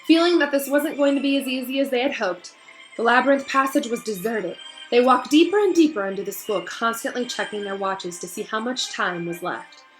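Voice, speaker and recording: A woman teacher speaking to children in an encouraging, affectionate way, in the expressive style of a storybook read-aloud. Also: a little high, a little fast, faint music behind, rough recording. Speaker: teacher reading aloud to pupils.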